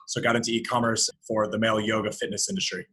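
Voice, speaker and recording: A man's voice: lowish voice, completely flat delivery, quick pace, a bit bright. A man from Florida speaking conversationally as a guest in an interview. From Florida, United States. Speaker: interview guest, company founder.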